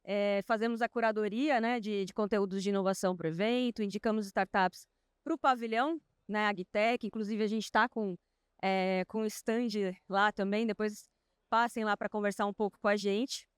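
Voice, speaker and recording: A woman's voice: mid-range voice, fairly even intonation, normal speed, clean tone, no echo, clean studio sound. A woman moderating a panel at a live event, introducing the session in a chatty way with many fillers and restarts. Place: outdoor stadium event stage.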